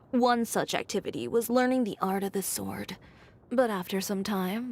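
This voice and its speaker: A woman's voice: mid-range voice, slightly rough. A woman voicing a blunt, haughty young fashion designer in a video game, speaking in a curt, dry and self-assured way. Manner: curt, dry, matter-of-fact, coolly condescending. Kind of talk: scripted game character voice lines.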